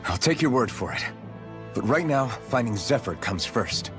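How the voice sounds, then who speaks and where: A man's voice: mid-range voice, animated, moderate pace, close to an everyday voice. A man playing an earnest, questioning young rebel hero in a video game cutscene. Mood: concerned. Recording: music behind.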